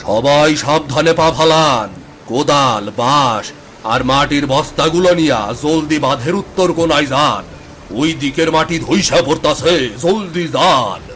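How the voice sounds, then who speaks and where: A man's voice: a little high, animated, measured pace, fairly bright tone, a little hiss or hum. A man playing an urgent, commanding villager rallying others in a story, with a rural Bangladeshi accent. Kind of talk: dramatised Bengali story acting.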